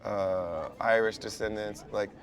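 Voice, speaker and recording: A man's voice: lowish voice, fairly even intonation, measured pace. A man chatting earnestly over lunch in a restaurant, building his points step by step. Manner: earnest, persuasive, speaks in building phrases. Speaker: guest in casual lunch chat. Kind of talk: casual conversation. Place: busy restaurant. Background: restaurant crowd chatter.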